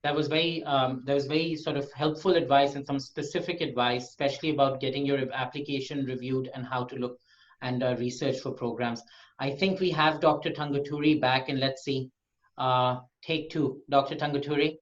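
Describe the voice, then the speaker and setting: A man's voice: medium pitch, steady tone, brisk. A man moderates an online info session. He thanks each speaker politely and hands over to the next. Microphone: video call. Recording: dull, lo-fi video call audio.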